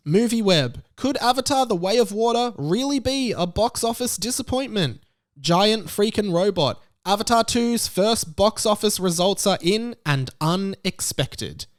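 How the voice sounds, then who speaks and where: A man with a somewhat higher-than-average voice talks as a solo podcast host. His delivery is conversational but emphatic, laying out opinions with plenty of self-assurance.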